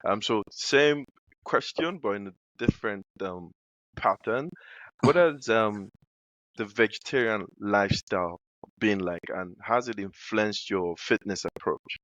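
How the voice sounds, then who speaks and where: Casting: deep-voiced male host from Africa, halting and filler-heavy, on a dull, dry, muffled recording. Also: fairly bright tone, no echo. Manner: hesitant, many fillers while asking questions. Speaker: podcast host. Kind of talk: casual interview.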